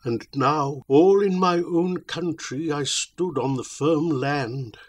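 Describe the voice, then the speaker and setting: A man's voice: fairly high pitch, slow. A man voicing a tormented old mariner who solemnly declaims his tale in archaic verse. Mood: haunted.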